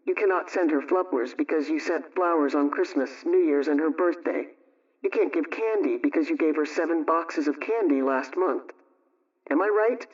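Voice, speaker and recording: Distant, dull-sounding brisk homemaking aunt acted by a woman. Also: deep voice, a little mellow, close to an everyday voice. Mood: emphatic, coaxing. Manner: brisk, emphatic, instructive. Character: brisk, motherly no-nonsense radio homemaking adviser, female. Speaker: storyteller and homemaking adviser. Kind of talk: scripted first-person radio storytelling.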